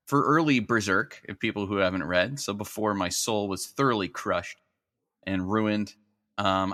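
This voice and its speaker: A man's voice: lowish voice, bright, forceful tone, no echo, clean studio sound. A man chatting casually as a podcast guest, with a playful, joking manner. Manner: playful, jokey. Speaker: recurring podcast guest, toy designer.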